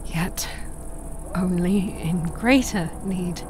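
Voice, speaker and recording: An actress playing a wise, serene elven mother in a fantasy audio drama, with gentle, formal, measured phrasing. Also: on the low side, music in the background. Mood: grave, reassuring.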